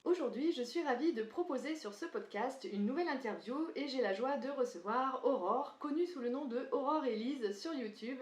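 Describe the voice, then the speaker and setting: A woman hosting a podcast, her voice on the higher side and bouncing around an echoey room. She opens with a smooth, polished welcome, then asks her guest questions politely, with some hesitation. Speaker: podcast host, sales trainer.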